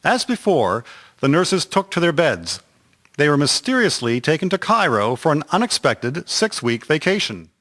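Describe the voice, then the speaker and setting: A man's voice: fairly high pitch, very animated, moderate pace, a bit bright. A man reading scripted historical narration for a radio drama series.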